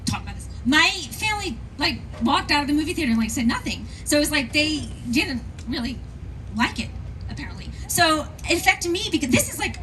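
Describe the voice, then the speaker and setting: A woman speaking as a guest on a convention panel, gushing, excitable and rambling. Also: high voice, a little fast, recorded from a distance, slight background noise, low-quality recording.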